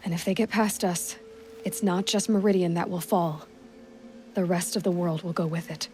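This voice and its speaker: A woman's voice: fairly low pitch, fairly even intonation, moderate pace, a little mellow, a little hiss or hum, close to an everyday voice. A woman playing a plainspoken, guarded but kind young warrior in a video game, with a direct, understated manner. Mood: grave. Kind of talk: video game cutscene acting.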